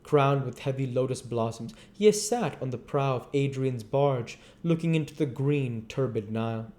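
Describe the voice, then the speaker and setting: A man voicing an earnest, devoted, brooding gentleman painter from a novel, with an intense and sincere manner. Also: mid-range voice, lively intonation, normal speed, lightly acted.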